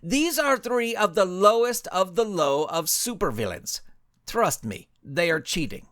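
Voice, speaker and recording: A man's voice: high-pitched, big pitch swings, measured pace, a bit bright, no echo, clean studio sound, exaggerated voice. A man voicing a pompous, commanding male superhero with declamatory, scornful delivery.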